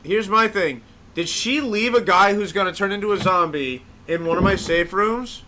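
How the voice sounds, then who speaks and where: A man's voice: high-pitched, normal speed, bright, forceful tone, a little hiss or hum, low-quality recording. A man casually commentating his live gameplay at home, joking and chatty. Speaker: game streamer. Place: home, indoors. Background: game music.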